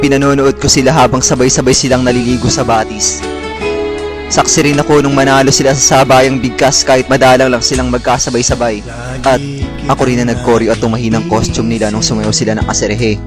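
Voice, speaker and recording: Casting: male actor as heroic alien avenger, mid-pitched, drawn-out melodrama, homemade comic radio play with very loud music underneath. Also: natural voice. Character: heroic male alien avenger from another planet, mock-solemn and sentimental. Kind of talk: amateur comic anime-parody radio drama.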